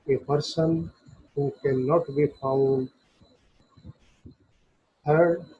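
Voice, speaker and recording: Husky, slow-paced man lecturing on law. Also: medium pitch. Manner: methodical, enumerating points in short separated phrases; switches between Hindi and English. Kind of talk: explanatory lecture, reading and explaining legal text. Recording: dull, muffled lo-fi recording.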